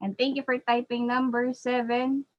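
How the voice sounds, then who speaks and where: A woman's voice: a little high, flat delivery, moderate pace, muffled recording. A woman with a Filipino accent politely hosting a casual live online webinar, thanking attendees as she goes. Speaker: webinar host. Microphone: online video call.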